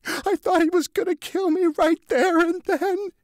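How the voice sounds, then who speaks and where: A man voicing a shaken, sobbing male car salesman in a very high, strained voice for a spoken story. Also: steady tone, unhurried, dry recording, clean studio sound. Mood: tearful.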